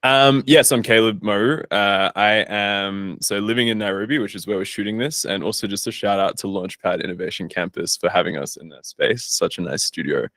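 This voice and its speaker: A man's voice: lowish voice, brisk, hardly any pauses, fairly bright tone, dry recording. A man chatting casually as an interview guest, informal and self-deprecating, recorded in a studio. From Australia. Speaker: guest, startup founder and investor.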